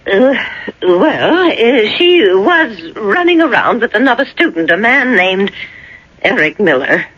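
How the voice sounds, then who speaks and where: A woman's voice: mid-range voice, very animated, slow pace, a bit bright, full character voice. A woman playing an anxious, protective mother in a radio drama, dramatic and hesitant. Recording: dull old radio broadcast.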